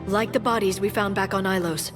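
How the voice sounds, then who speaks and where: A woman's voice: medium pitch, steady tone, fairly quick, natural voice. Earnest female alien scientist played by a woman, music behind. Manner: earnest, explanatory. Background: background music.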